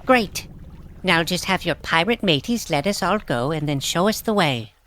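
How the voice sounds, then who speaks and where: Haughty young heroine, woman actor, lowish pitch, refined and commanding, fantasy audio drama. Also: lively intonation, normal speed, a bit bright, a little hiss or hum. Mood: impatient. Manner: fancy, imperious, formal phrasing. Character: bossy, refined, haughty girl adventurer.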